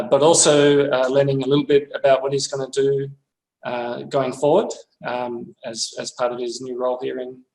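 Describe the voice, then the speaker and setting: A man hosting a seminar, introducing the speaker in a halting way with many fillers. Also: medium pitch. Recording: echoey room.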